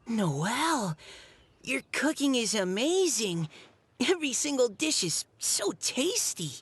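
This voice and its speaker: A woman's voice: fairly high pitch, sing-song, slow pace, breathy edge, exaggerated voice. A woman voicing a modest, shy young boy knight in a video game, with a hesitant, bashful and sincere delivery. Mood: admiring. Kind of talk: scripted video game dialogue.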